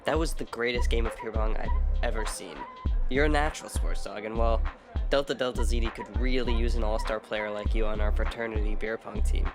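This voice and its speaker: A man's voice: on the low side, fairly even intonation, a little fast, natural voice. A man playing an earnest young fraternity president in a comedic audio drama, admiring and persuasive. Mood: eager, admiring. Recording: music behind the voice.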